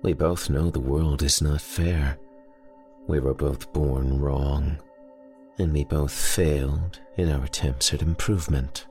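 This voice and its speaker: A man voicing a calm, dreamy young woman. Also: very deep voice, faint music behind, full character voice. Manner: soft, slow, sleepy.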